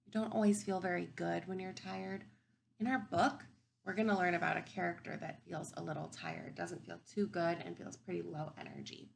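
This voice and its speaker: A woman teaching kindergarten through a read-aloud, thinking aloud and posing simple questions to children in an expressive, emphatic way. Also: lowish voice, normal speed, gravelly, slight background noise. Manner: expressive, emphatic storybook delivery.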